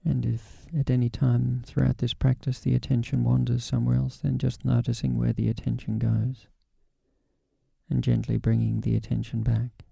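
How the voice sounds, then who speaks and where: A man guiding a body scan meditation in a calm, gentle, unhurried way with long pauses. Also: mid-range voice, vocal fry, husky, no echo. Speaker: meditation guide.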